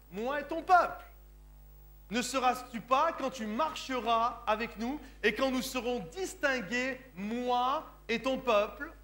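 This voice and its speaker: A man's voice: extremely high pitch, pushed and bright. A French man of Sicilian descent, a pastor preaching a sermon in church with emphatic delivery, often asking his congregation if they understand. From France, of Sicilian parents. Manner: emphatic, repeatedly asks the congregation "Comprenez-vous?".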